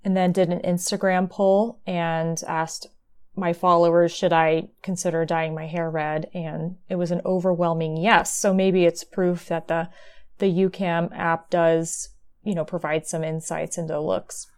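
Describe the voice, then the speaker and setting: A woman's voice: fairly low pitch, little pitch movement, a little slow, a little mellow, clean tone. A woman in her thirties hosting a podcast, opening the show and chatting in an upbeat, organized way. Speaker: host. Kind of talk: scripted podcast intro and casual chat.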